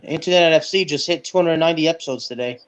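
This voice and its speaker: A man co-hosting a sports podcast in casual chat, speaking haltingly and repeating words as he goes. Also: fairly high pitch. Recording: dull, lo-fi sound.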